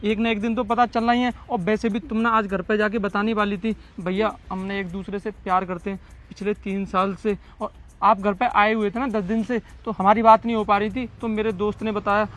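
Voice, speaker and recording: A man plays a lovesick young boyfriend with a high voice, clingy and pushy in a melodramatic skit. Faint music sits behind him. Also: level tone, brisk, a bit bright. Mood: confessing. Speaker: actor in a moral-story video. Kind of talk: amateur melodramatic skit acting.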